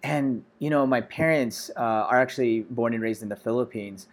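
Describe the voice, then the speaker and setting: American man in his forties, casual interview guest, relaxed storyteller, lots of fillers. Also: mid-range voice, normal speed. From Los Angeles, USA. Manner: relaxed, many fillers like "you know" and "uh". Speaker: guest, startup founder.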